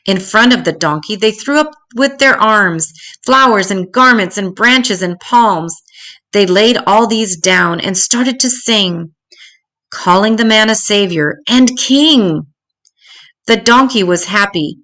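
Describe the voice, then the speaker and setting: An older woman reading a rhyming children's story aloud in an expressive, dramatic, deliberate way. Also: medium pitch.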